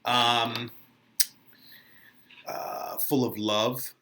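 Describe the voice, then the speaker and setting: Bright-voiced man teaching drama, slow, theatrical. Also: mid-range voice, level tone. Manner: theatrical, colloquial. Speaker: English teacher. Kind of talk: lesson commentary, casual retelling of a play.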